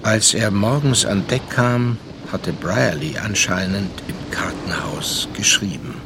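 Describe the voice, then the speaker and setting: A man playing a reflective seafaring storyteller, narrating a radio play in a measured, dryly ironic way. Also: fairly low pitch, background noise. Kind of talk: dramatic first-person literary narration.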